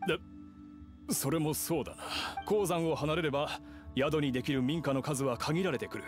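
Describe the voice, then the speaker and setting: A man's voice: a little high, moderate pace, natural voice. Businesslike game villager played by a man. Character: brisk adult male villager leading an investigation. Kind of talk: video game voice acting. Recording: faint music under the voice.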